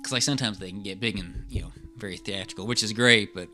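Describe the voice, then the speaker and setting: A man co-hosting a podcast in casual chat, rambling along in a halting way. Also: on the low side, bright, forceful tone, soft background music. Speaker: podcast co-host.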